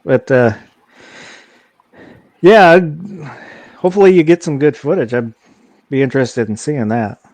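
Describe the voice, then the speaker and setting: Male stream guest, mid-pitched, conversational and informal, recorded dry. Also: a little slow, pauses often, darkish tone, no room sound. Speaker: guest caller on a livestream. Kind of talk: casual chat.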